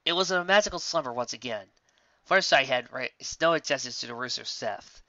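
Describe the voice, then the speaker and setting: A man reading a fan fiction story aloud in an expressive storybook narration. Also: mid-range voice, no echo, dull audio.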